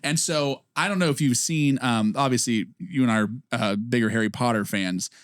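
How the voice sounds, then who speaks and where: A man in his twenties co-hosting a podcast, chatting casually with lively restarts. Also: mid-range voice, moderate pace, dry recording, studio quality. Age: 20s. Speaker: podcast co-host. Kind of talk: casual chat.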